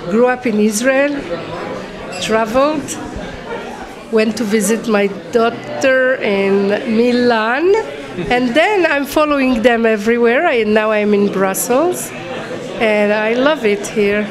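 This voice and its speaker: A middle-aged woman from Morocco speaks very slowly and reflectively about the colours she uses. The chatter of a crowd is clearly heard around her. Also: fairly high pitch. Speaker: painter. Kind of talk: interview answers.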